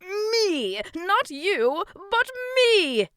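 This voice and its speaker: Woman as encouraging magical king, high-pitched, swooping delivery, enthusiastic teacher, children's storybook. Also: wildly swooping pitch, pushed and bright, no room sound, clean studio sound, heavily acted. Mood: excited. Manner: enthusiastic, exclaiming, slow word-by-word coaching.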